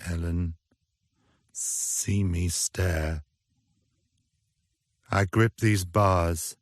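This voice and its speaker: An actor playing an ancient, lonely male vampire who stammers in a slow, hushed, menacing way, in a radio drama. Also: deep voice, no echo, clean studio sound.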